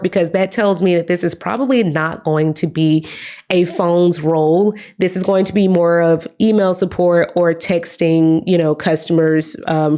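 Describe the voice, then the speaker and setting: A young woman vlogger giving chatty job advice straight to her viewers, her voice hoarse and giving out from being sick. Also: on the low side, a little mellow. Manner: chatty, upbeat, addresses viewers as guys. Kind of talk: casual talk to camera.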